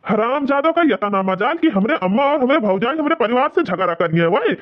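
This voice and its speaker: Man playing high-voiced, blustering Awadhi village son. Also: lively intonation, brisk. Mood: angry. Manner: indignant, blustering. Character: angry, proud adult son of a village family. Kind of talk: Awadhi cartoon comedy dialogue. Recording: dull, lo-fi sound.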